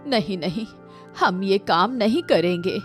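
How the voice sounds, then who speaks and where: A woman's voice: mid-range voice, expressive, unhurried, soft background music, natural voice. A woman voicing an anxious, stubborn, complaining housewife and mother in a Hindi cartoon story. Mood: reluctant, protesting.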